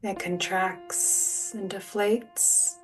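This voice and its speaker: A woman leading a guided relaxation as a yoga teacher, speaking in a soothing, gentle, unhurried manner. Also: mid-range voice, fairly even intonation. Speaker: yoga nidra meditation guide. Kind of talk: guided meditation, soft spoken instruction. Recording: distant mic, music behind. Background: soft music or sound bowls.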